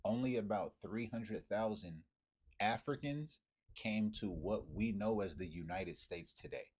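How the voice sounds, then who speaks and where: A man's voice: on the low side, a little slow, a little mellow, slightly rough. A man delivering a heated, profane monologue, firing off rhetorical questions at his viewers. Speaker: commentator.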